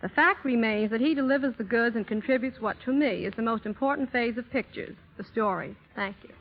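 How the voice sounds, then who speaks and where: A woman speaking as an interview guest in a scripted, deliberate 1930s radio style, on a muffled old broadcast. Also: fairly high pitch.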